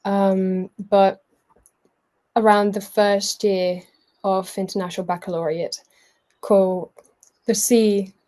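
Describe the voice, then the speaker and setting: A woman's voice: medium pitch, dark tone. A woman in her early twenties from Spain, with a Spanish accent, telling her own life story informally as a guest, chatty and self-effacing. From Mallorca, Spain. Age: early 20s. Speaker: guest sharing a personal testimony.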